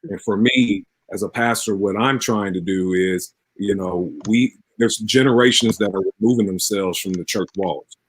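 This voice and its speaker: A man's voice: fairly low pitch, normal speed, no room sound, poor audio. A man in his forties, a pastor speaking earnestly as an interview guest, repeating phrases for emphasis in a preacher-like way. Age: 40s.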